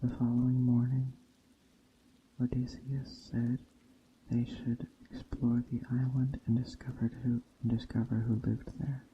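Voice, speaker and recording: A man reading a story aloud in a soft ASMR style, slowly and deliberately, in a hushed bedtime storytelling manner. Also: medium pitch, completely flat delivery, slight background noise. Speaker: storyteller, narrator. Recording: dull muffled sound, faint background ambience. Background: soft nature ambience.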